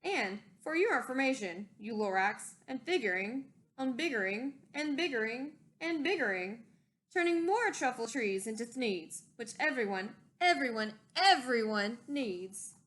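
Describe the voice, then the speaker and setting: A woman's voice: a little high, animated, measured pace, a bit bright. A woman voicing a greedy, defiant male factory owner from a storybook, insistent and boastful. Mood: angry, defiant.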